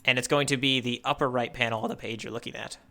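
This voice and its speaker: Bright-voiced man, playful podcast co-host. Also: mid-range voice, fairly even intonation, fast talker. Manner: playful banter, teasing. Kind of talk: casual chat on a manga readalong podcast.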